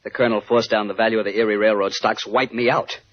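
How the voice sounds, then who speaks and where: A man playing a wealthy young gentleman, a bitter rival in love, in a 1950s radio drama. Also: lowish voice, flat delivery, fast talker, lightly acted. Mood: earnest, intimate. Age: young adult. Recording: dull old radio recording.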